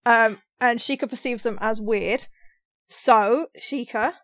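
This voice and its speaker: A woman's voice: fairly high pitch, slow, dry recording, studio quality. A woman hosting a podcast in casual conversation, chatty, teasing and full of questions. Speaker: podcast host.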